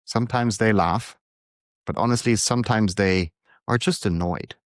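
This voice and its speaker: A middle-aged man, speaking calmly and reflectively in a prepared monologue to his readers. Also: on the low side, no echo, clean studio sound. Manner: calm, unhurried, reflective. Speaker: newsletter author and coach.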